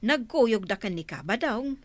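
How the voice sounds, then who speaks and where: A woman with an Ilocano accent playing a gossipy, lively village neighbour in an audio drama. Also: a little high, measured pace, no room sound. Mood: curious.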